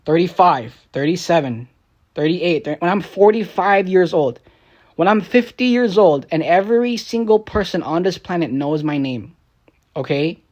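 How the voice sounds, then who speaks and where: A man's voice: lively intonation, moderate pace, a little mellow, muffled recording. Young man ranting profanely on stream. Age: young adult. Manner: profane, frustrated, rambling; swings between low mutters and raised outbursts. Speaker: streamer and rapper. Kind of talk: rambling live-stream rant.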